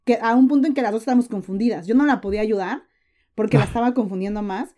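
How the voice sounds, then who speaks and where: A woman's voice: fairly high pitch, fast talker, mellow. A woman in her thirties from Mexico with a Mexican accent, chatting as a podcast guest, full of fillers and restarts. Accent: Mexican Spanish. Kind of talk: casual interview chat.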